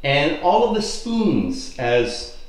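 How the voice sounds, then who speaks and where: Animated, high-pitched man hosting a travel vlog. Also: slow pace, poor audio. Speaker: travel show host. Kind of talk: travel vlog presenting and interviewing.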